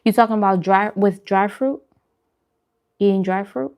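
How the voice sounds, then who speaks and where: A woman's voice: medium pitch, moderate pace, a little mellow. A woman chatting casually as a vlog host, informal and conversational, often repeating phrases for emphasis. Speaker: host of a food and vlog channel. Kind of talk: casual eating-show chat answering viewer questions.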